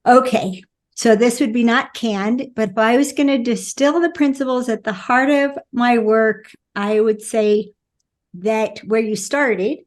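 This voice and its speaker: An American woman speaking as a guest relationship expert, emphatic and quick to laugh. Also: a little high. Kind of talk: casual interview talk.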